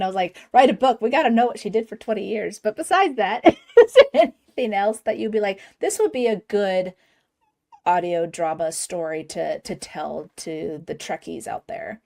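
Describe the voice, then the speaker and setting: A woman's voice: medium pitch, a little mellow. Enthusiastic woman podcast host. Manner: enthusiastic, chatty fan. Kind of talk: casual podcast interview.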